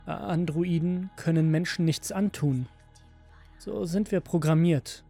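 A rebellious android played by a male narrator in a science-fiction story, speaking with bitter defiance. The voice sits fairly high with some gravel in it, over faint music. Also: slow, warm tone, full character voice. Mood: cold, insistent.

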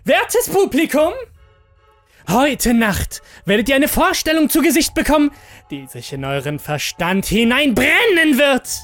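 A man voicing a mad young circus ringmaster in a horror audio story, shrill and theatrical as he announces. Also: very animated, unhurried, fairly bright tone, faint music behind, exaggerated voice. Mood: manic, grandiose.

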